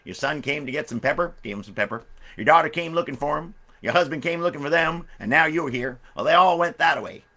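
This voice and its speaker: Man as a lively, matter-of-fact storekeeper. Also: on the high side, fairly quick, slight background noise, voice pushed up.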